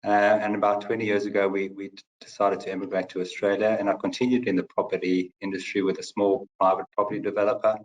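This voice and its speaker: A man's voice: on the low side, level tone, quick pace, hardly any pauses, dull audio. A middle-aged man from South Africa with a South African accent, speaking as a guest on a webinar panel, hesitant with many fillers. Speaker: panel guest, company CFO.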